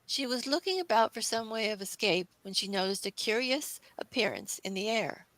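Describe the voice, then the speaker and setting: A woman reads a classic story aloud as narrator. Her voice is bright and forward at a middling pitch, recorded close and dry. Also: animated, moderate pace, dry recording, low-quality recording.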